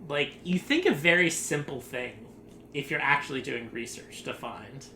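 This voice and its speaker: A man chatting loosely as a podcast host, his bright, forceful voice carrying through a rambling, sarcastic riff with frequent laughs. The room rings with echo, and there's a faint noise floor under him. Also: medium pitch, animated, moderate pace. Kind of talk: casual group podcast chat.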